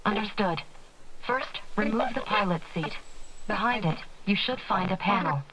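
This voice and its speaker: An actress playing a female android in a video game, speaking in a measured, formal and exact way. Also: medium pitch, heavy background noise, natural voice. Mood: calm, clinical. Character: calm, precise female android, clinical and formal.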